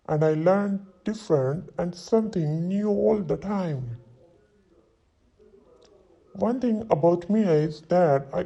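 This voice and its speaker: A man in his twenties voicing a young London solicitor who introduces himself, saying each sentence twice slowly for learners to repeat. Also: sits high, gravelly, mellow, heavily acted, low-quality recording. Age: 20s. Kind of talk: slow repeat-after-me drill reading.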